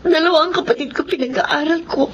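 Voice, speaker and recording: A woman's voice: high-pitched, a little fast, background noise, close to an everyday voice. An actress playing a distraught, selfless young working woman and eldest sister in a Filipino melodrama, pleading and emotional. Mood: worried. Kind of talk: Filipino radio-style melodrama acting. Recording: muffled lo-fi audio, loud music underneath.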